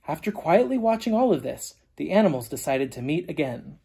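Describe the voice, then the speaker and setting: A storyteller reading a children's book aloud in an animated, deliberate way with dramatic emphasis. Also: mid-range voice, vocal fry, breathy edge. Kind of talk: expressive read-aloud narration for children.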